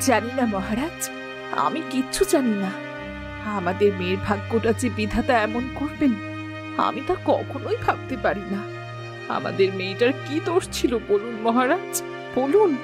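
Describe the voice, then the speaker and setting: A heartbroken cartoon queen played by a woman pleads in formal, melodramatic speech. Her voice is somewhat high and plaintive, and music plays clearly underneath. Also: animated, moderate pace, close to an everyday voice. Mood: pleading, heartbroken. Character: anguished fairy-tale queen, pleading, heartbroken mother. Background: background music.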